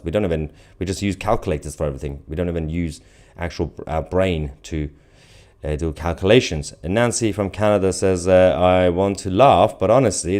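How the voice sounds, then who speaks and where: A man's voice: low-pitched, a little mellow. A man hosting a live stream in an informal, off-the-cuff way, reading out viewer comments and giving casual commentary.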